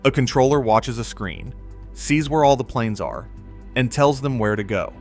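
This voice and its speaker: A man giving scripted narration for an explainer video, in a measured, even, explanatory delivery. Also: mid-range voice. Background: faint background music.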